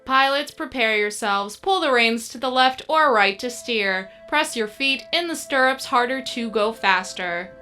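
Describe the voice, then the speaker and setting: A woman's voice: fairly high pitch, lively intonation, a little slow, few pauses, sharp tone, faint music behind. A woman playing a disembodied, coolly menacing female announcer of a deadly arena game in an audio drama, composed and instructive. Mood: commanding. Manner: composed, instructive, matter-of-fact about death. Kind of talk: scripted audio drama acting.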